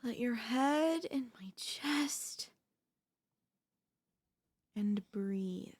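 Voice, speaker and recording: Woman acting a slow-speaking, doting yandere wife. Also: a little high, lively intonation, full character voice. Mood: tender, soothing. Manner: breathy, hesitant stammers, tender coaxing. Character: doting yandere wife, anxious, soothing, quietly controlling. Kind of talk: ASMR audio roleplay, intimate acted monologue.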